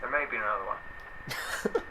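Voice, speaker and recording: A man's voice: fairly low pitch, moderate pace. A young Scottish man chatting as a guest, rambling with lots of fillers and self-mocking asides. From Scotland. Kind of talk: casual chat. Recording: slightly dull, faint noise.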